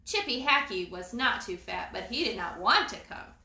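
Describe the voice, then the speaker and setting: A woman reading a children's storybook aloud as storyteller, in an expressive, dramatizing manner. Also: mid-range voice, moderate pace, gravelly, a little hiss or hum. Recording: roomy echo.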